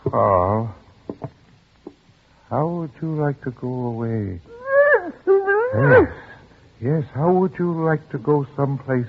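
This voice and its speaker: An actor playing an anxious older father protecting his son in a 1940s radio drama, nervous, halting and pleading. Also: medium pitch, huge pitch swings, phone-quality sound, heavily acted. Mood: distraught. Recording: crackly noisy band-limited old radio recording.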